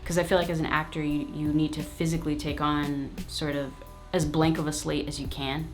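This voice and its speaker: A woman with a low, full speaking voice, talking straight to her viewers in an easygoing vlog Q&A. Her manner is frank and casual, with plenty of slang and asides. Faint music sits underneath. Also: level tone, fairly quick. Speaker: YouTube host.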